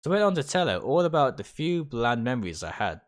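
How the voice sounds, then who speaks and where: A man's voice: mid-range voice, sing-song, fast. A man reading visual novel text aloud in a dramatic storytelling style, sometimes stumbling and rereading words. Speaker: streamer reading a game aloud.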